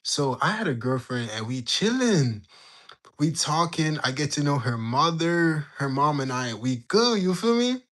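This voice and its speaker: A young man in his late teens, hosting a livestream and telling a story in loose, slangy talk. His voice sits a little high for a man. He keeps turning to answer his chat in a lively back-and-forth. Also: unhurried. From Jamaica. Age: young adult. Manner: animated, slangy, bantering with chat. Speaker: livestream host. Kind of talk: casual storytelling to live chat.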